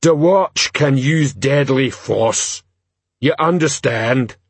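A huge male troll police sergeant from an audiobook. He speaks very slowly and heavily, in blunt, laboured, broken grammar. He is dim but dutiful and throws his voice out loudly. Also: medium pitch, husky, no room sound. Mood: booming, stern. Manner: slow, laboured, ungrammatical speech. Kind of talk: audiobook character voice.

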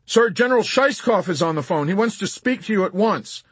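Male narrator doing male army sergeant, nervous, urgent, deferential, high and slightly husky, audiobook. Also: expressive, a little fast, fairly bright tone, no room sound, heavily acted. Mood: anxious. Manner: pleading, deferential.

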